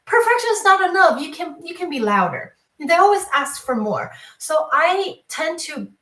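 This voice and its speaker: A woman's voice: very high voice, big pitch swings, moderate pace, a bit bright. A woman with a Taiwanese accent talking reflectively as an interview guest, explaining things with repeated words and phrases. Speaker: classical pianist, teacher, interview guest. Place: online video discussion, voice in an echoey room. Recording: echoey room sound.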